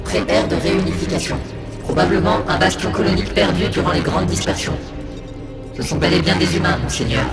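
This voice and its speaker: Loud music sits under a gravelly male cyborg tech-priest acted by a man from a sci-fi audio drama. He delivers analysis in clipped, clinical phrases, cold and detached, in a slightly high register. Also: a little fast. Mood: cold, analytical. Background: loud cinematic music.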